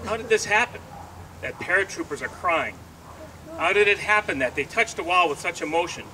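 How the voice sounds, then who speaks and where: A man recites poetry with solemn, deliberate emphasis. His voice is bright and forceful and slightly high. There is faint noise around him. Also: animated, far from the mic, low-quality recording. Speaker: congregation president reading a poem.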